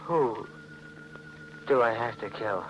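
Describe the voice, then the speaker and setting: An actor playing a haunted, intense husband drawn toward murder, narrating a 1940s radio thriller in a dramatic, brooding, halting way. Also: male voice, medium pitch, muffled recording, natural voice. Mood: eager, uneasy. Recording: old 1940s radio recording with music.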